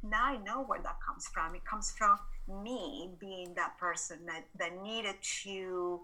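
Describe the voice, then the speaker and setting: A woman's voice: medium pitch, measured pace, pushed and bright, poor audio. A woman, a podcast guest telling her story in a reflective, earnest way. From Venezuela. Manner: reflective, earnest, with frequent restarts. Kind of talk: personal storytelling in an interview.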